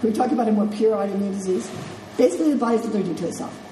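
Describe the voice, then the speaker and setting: Congested middle-aged woman, nursing instructor, low mellow voice, casual lecture in a roomy classroom. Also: rapid-fire, slight background noise. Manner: conversational, checks in with "okay?" and "right?". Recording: echoey classroom with faint ambience.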